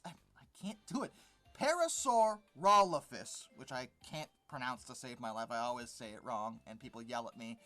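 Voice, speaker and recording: An informal video commentator with a middling pitch critiques material point by point. The delivery is exasperated and sarcastic, often waving things off dismissively. Faint music plays behind the voice. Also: lively intonation, normal speed, a bit bright. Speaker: host of a paleontology commentary channel.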